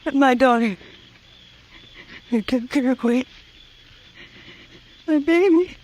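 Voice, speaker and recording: An actress playing a distraught, wounded frontier mother in an old radio western, weak, halting and anguished. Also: high voice, a little mellow. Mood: grieving. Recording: vintage radio recording.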